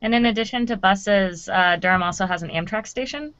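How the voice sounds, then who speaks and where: A woman's voice: medium pitch, brisk, a bit bright, no room sound, lo-fi sound. A woman in her twenties from North Carolina, a graduate student on a live Q&A panel, talking informally and upbeat with "um" fillers.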